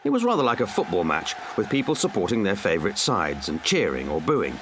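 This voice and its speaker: A man's voice: deep voice, background noise. A man narrating a comic children's story with dry, wry asides. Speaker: audiobook narrator.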